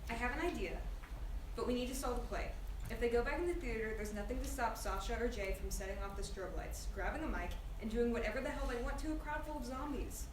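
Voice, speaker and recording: A woman at a table read playing a blunt, resentful high school girl who likes to scheme. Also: quick pace, natural voice. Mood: dry. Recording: echoey, distant, clearly noisy room.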